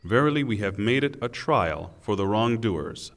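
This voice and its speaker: A man reading a scripture translation in a solemn, measured and deliberate way. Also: lowish voice, lively intonation. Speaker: translation reader. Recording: long hall reverb.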